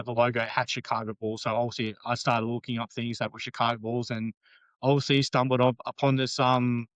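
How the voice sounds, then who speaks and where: A man's voice: mid-range voice, a bit bright, smooth, dry recording. A man in his late thirties with an Australian accent, chatting casually as a podcast guest, rambling with frequent ums and restarts. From Western Australia. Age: 30s.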